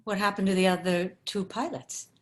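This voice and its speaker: A woman's voice: medium pitch, fairly even intonation, normal speed, lightly acted. An actress playing a shocked, accusing woman confronting a colleague in a dramatic script read. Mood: alarmed. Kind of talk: table read of a screenplay, dramatic dialogue.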